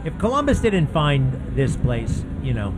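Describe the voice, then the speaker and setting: A man hosting a casual live interview outdoors, talking in a halting, conversational way. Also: medium pitch, huge pitch swings, music in the background. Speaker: interviewer, event host. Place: outdoors on a city avenue at a public event. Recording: noisy city street outdoors.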